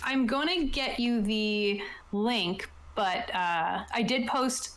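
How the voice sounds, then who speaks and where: A woman's voice: mid-range voice, takes it slowly, fairly bright tone. A woman chatting casually and upbeat as she hosts a roleplaying stream and explains the rules, close to her mic. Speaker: host and game master of a tabletop roleplaying stream. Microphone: close desktop mic on an online voice chat.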